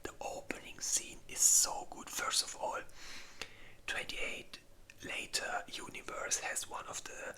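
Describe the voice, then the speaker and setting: Asmr host whispering super crisp and bright, slow rambly casual review, kinda searching for words. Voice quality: unvoiced breathy whisper.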